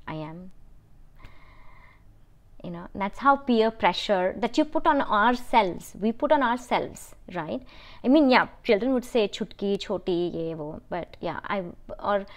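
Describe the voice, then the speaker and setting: Female vlogger, middle-aged, Indian accent, lowish pitch; candid personal delivery, halting, with emphatic repeats. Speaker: vlogger answering viewer questions.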